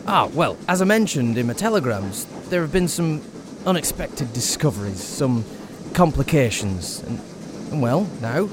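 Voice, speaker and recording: An actor playing an earnest, courteous captain in a radio drama, polite and slightly flustered. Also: male voice, mid-range voice, big pitch swings, normal speed, noisy background, lightly acted. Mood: hesitant, earnest. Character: earnest, eager English captain, courteous host's aide.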